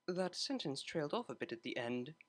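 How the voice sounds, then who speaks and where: Man playing a refined, lightly high-voiced young mare. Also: sing-song. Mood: dryly skeptical. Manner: proper, measured, dry. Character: refined, polite young cellist mare, gently wry. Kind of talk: fan fiction audiobook character voice.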